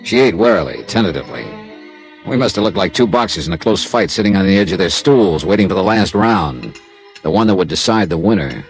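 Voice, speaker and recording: An actor playing a tough, wry insurance investigator in a classic radio drama, clipped and sardonic. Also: male voice, sits low, sing-song, fairly quick. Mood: dry narrating. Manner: clipped, sardonic, hard-boiled. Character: tough, wry freelance insurance investigator, narrating his case. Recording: vintage radio drama with music.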